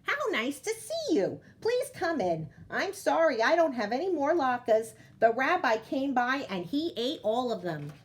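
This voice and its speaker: A woman's voice: high voice, very animated, a little slow, few pauses. A woman voicing a kindly, chatty old grandmother who fusses over and coaxes her guest in a storybook tale. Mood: bright, surprised. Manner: fussing, coaxing, exclaiming.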